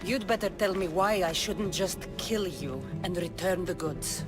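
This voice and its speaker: A woman's voice: lowish voice, measured pace, lightly acted. Cool, sardonic mercenary woman, acted, music behind. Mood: mocking, threatening. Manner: cool, dry, challenging. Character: confident, sardonic young female ancient Greek mercenary for hire. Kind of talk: video game voice acting.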